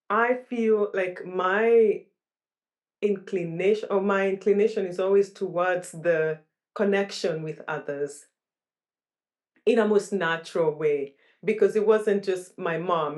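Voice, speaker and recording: A woman's voice: on the low side, a little slow. Thoughtful woman, reflective guest. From Kenya. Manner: thoughtful, searching for words with restarts. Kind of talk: reflective interview talk.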